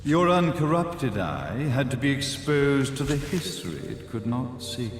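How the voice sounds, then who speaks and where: An actor playing a pompous, calmly megalomaniac sage in a video game cutscene, speaking in measured, dramatic pauses. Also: male voice, mid-range voice. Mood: grandiose. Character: grandiose villainous sage, calm megalomaniac executive. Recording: echoey hall reverb under loud music.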